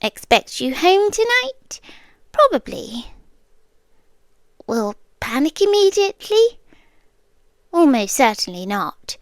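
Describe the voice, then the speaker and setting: A British woman performs a young girlfriend whose devotion has tipped into obsession, calm and intimate with an unsettling edge. Her high voice moves slowly, sliding into soft whispers right up against the mic. The sound is dry and close, with no room around it. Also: dry recording, heavily acted. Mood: coolly menacing. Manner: soft-spoken, slipping into whispers. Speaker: ASMR roleplay performer. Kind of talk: ASMR roleplay.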